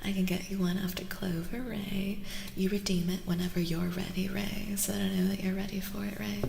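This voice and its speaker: A woman streamer speaking in soft, soothing ASMR, drifting between a breathy whisper and a hushed voice, close to the microphone. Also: medium pitch, level tone, fairly quick, reverberant, slight background noise. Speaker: streamer hosting a live tarot reading.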